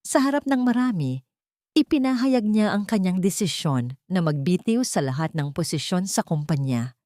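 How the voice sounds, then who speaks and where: Low-voiced Filipino woman narrating a story. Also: expressive, moderate pace, warm tone, no room sound, clean studio sound. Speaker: audiobook storyteller. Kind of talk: scripted dramatic story narration.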